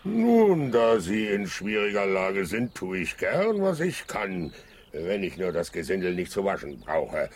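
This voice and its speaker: A man's voice: low voice, huge pitch swings, exaggerated voice. A man playing a proud, blustering lion king in a children's radio play, pompous and haughty in manner. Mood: grudgingly polite. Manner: pompous, haughty, drawn-out roaring declamation.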